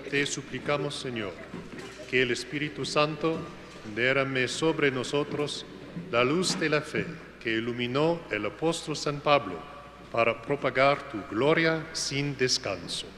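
A man reciting the Mass prayers as a priest, in a slow, solemn liturgical manner. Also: mid-range voice, fairly bright tone. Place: church. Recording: echoey church with noise.

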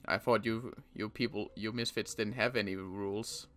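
A young man playing a weary survivor in a Minecraft roleplay, talking in halting bursts with sighs and restarts. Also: fairly low pitch, fairly even intonation, fairly bright tone, soft background music. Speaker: roleplay player and channel host.

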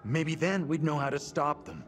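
A man's voice: fairly high pitch, steady tone, moderate pace, natural voice. Urgent, commanding professor played by a man. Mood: grave, resolute. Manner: urgent, clipped, authoritative. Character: grim, commanding middle-aged professor under threat. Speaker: actor in a horror video game. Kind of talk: dramatic game cutscene acting. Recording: dramatic music behind.

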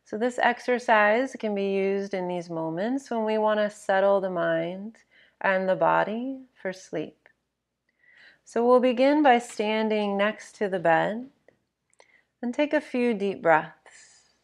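A woman narrating a guided sleep meditation in a gentle, measured, instructional manner. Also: medium pitch.

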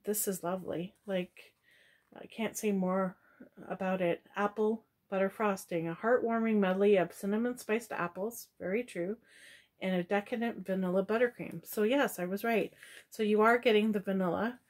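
A woman's voice: medium pitch, fairly even intonation, moderate pace. Chatty tired woman reviewing scents. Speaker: product reviewer. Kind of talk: casual product review chat. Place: small dim room indoors.

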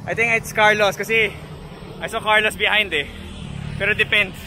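A man's voice: high voice, steady tone, normal speed, background noise. A young man with a Filipino accent chatting casually and upbeat to camera, switching between Tagalog and English. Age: young adult. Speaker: vlogger, cyclist. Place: outdoors on a roadside and open bamboo hut. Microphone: action camera at arm's length. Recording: outdoor action camera, loud background music, chatter.

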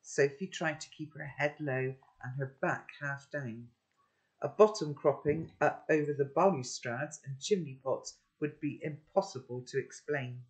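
A woman's voice: sits low, a little slow, poor audio. Older woman reading a story aloud at home. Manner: gentle, musing, asks rhetorical questions. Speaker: reader of a children's novel. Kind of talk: read-aloud storytelling with chat. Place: home room, indoors. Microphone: laptop webcam.

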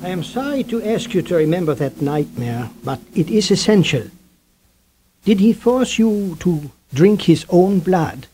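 A man's voice: high-pitched, big pitch swings, a little slow, warm tone, husky, faint music behind, exaggerated voice. An actor playing an elderly male vampire hunter professor, formal and urgent, in a 1970s radio drama. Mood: grave.

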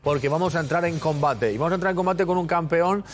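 A man's voice: fairly high pitch, quick pace. A man in his forties hosting a TV magazine show in a studio, chatting and teasing his guest playfully. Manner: jokey, teasing, talkative. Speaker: TV magazine host. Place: TV studio set with sofas. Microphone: lapel mic.

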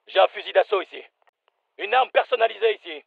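An actor playing a tough, no-nonsense special forces soldier in a shooter game, giving terse, matter-of-fact callouts. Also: male voice, high voice, animated, quick pace, creaky, no echo, phone-quality sound, heavily acted. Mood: businesslike. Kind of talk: military shooter game voice lines, French dub.

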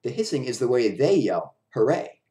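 A man's voice: medium pitch, very animated, moderate pace, dark tone, natural voice. A man voicing an upbeat, reassuring circus clown, breezy and emphatic, in a storybook reading. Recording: roomy echo of a bare room.

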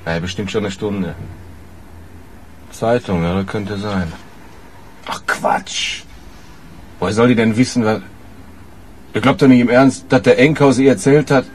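A man's voice: lowish voice, a little mellow, close to an everyday voice. A man playing a brusque, dismissive character on a telephone call in a radio crime drama, speaking colloquially.

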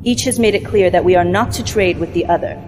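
A woman's voice: fairly low pitch, quick pace, darkish tone, lightly acted. An actress playing a dutiful, controlled female military mentor in a science fiction game, giving clipped, formal briefings. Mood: cool, stern. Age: young adult. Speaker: actor in game cutscenes. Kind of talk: formal scripted mission briefing. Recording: long hall reverb, music underneath. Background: background music.

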